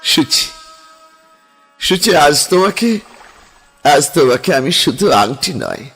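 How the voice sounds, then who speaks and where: A man's voice: a little high, a bit bright, heavily acted. A man playing an impassioned young lover. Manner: impassioned, pleading, intimate. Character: young man Ambar, passionate lover reborn. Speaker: actor. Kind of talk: radio drama acting.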